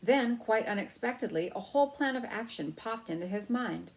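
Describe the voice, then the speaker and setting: A woman's voice: on the high side, expressive, moderate pace, a little mellow, low-quality recording. A woman storyteller reading a children's novel aloud.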